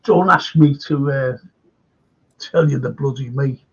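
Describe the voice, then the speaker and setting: A man's voice: medium pitch, unhurried, gravelly, slightly rough, highs cut off. An older man hosting a casual fan chat show, rambling and chatty with lots of "you know". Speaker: host.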